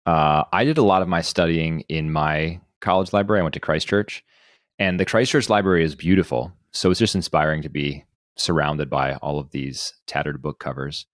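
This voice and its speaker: A man's voice: extremely low pitch, steady tone, moderate pace, dry recording, studio quality. A man hosting a casual podcast, telling personal anecdotes in a discursive way, thinking aloud as he goes. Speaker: podcast host.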